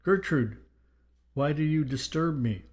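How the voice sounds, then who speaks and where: A man's voice: a little high, animated, measured pace, voice pushed up. A man voicing an irritated dead husband's spirit in an audiobook, stern and reproachful, with a slight British accent.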